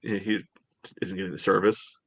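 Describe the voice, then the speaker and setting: A man's voice: low-pitched, flat delivery, a little fast, vocal fry, a bit bright, breathy edge, no echo. A man co-hosting a casual sports discussion, talking in a rambling way peppered with filler phrases. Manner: rambling, peppered with "you know". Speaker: podcast co-host.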